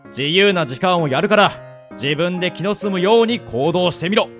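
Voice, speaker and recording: A man plays a roguish, easygoing sword instructor in a radio drama. He speaks with smooth, laid-back politeness in a lightish, slightly raised pitch. Music runs underneath. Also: normal speed, a bit bright. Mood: earnest. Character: easygoing, roguish male fencing instructor, polite yet teasing. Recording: music behind the voice. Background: background music.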